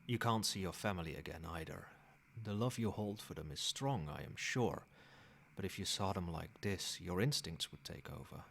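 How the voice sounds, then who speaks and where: A man playing a possessive, controlling old vampire in a soft-spoken, measured ASMR roleplay. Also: deep voice, expressive, creaky, slight background noise, full character voice. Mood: grave, explaining. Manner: measured, formal, commanding.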